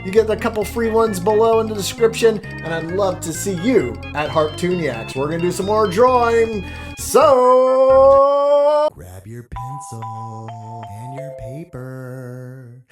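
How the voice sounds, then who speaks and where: A man's voice: sits high, expressive, slow pace. A man hosting a casual step-by-step drawing tutorial, talking in a chatty, jokey way as he thinks aloud. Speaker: drawing instructor and host. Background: background music.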